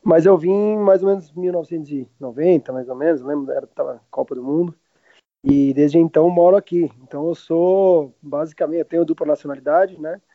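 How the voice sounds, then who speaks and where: A man in his late thirties with a Brazilian accent talks casually as an interview guest. His voice sits a little high, and he speaks informally, often backtracking mid-sentence. The recording is dry and slightly muffled. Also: a little fast, no echo. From Argentina. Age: late 30s. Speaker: podcast guest, restaurant owner. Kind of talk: casual chat. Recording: roomless dry, slightly dull lo-fi sound.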